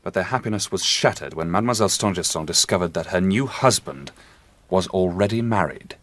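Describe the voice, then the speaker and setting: A man's voice: low-pitched, expressive, brisk, a bit bright. An actor playing a confident amateur detective in a radio drama, dramatic and eloquent as he tells the tale. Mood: assured. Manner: dramatic, eloquent, assured storyteller. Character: theatrical, confident amateur detective revealing a mystery. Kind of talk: period radio drama acting.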